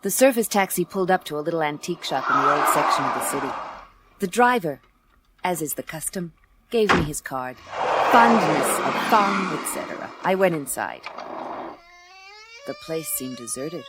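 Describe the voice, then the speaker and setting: A woman playing a tough, wry space detective in a noir radio play, deadpan and clipped. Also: deep voice, normal speed, heavy background noise. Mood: wry narration. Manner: deadpan, clipped, hard-boiled. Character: tough, wry female space detective narrating her case.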